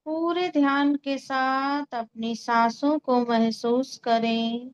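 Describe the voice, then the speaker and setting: Very slow-speaking woman guiding meditation. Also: high-pitched, smooth. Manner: calm, soothing, unhurried. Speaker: meditation guide and Reiki healer. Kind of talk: guided meditation, slow instructions with long pauses.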